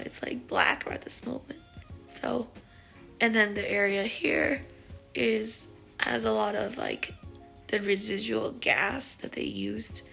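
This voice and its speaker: A woman vlogger giving a casual recovery check-in, with a raspy, sometimes unclear voice and a halting, filler-heavy way of talking. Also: fairly high pitch, a bit bright. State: recovering voice after neck surgery.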